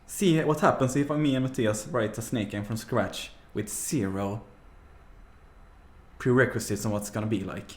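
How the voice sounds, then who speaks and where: A man's voice: lowish voice, moderate pace. A man with a Swedish accent, a guest on a live coding stream, chatting casually with a dry, understated humor. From Sweden. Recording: echoey room.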